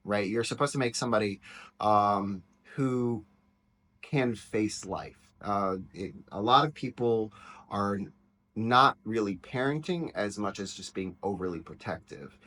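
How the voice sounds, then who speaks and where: Man, loud penetrating voice, lowish pitch, candid, rambling, casual commentary. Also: unhurried. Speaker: teacher and author reacting to his own book.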